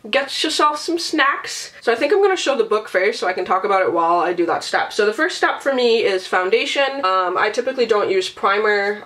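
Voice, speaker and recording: A woman's voice: mid-range voice, a bit bright. Sick, nasally woman rambling casually. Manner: chatty, casual, swears freely. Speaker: beauty and book vlogger. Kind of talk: casual rambling vlog chat.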